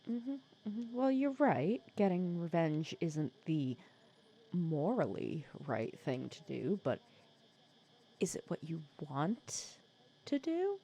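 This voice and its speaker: A woman playing a sly, secretly caring female supervillain in an intimate ASMR roleplay, casual and teasing, softly breathy. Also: low voice, sing-song, takes it slowly, full character voice. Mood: teasing, coaxing. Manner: casual, teasing, persuasive, sardonic.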